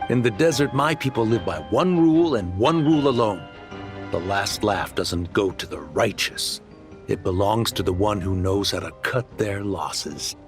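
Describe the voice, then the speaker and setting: Smug greedy game villain, taunting, really lively pitch, music behind him. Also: male voice. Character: smug, greedy, self-made male desert swindler turned socialite. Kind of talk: video game voice acting.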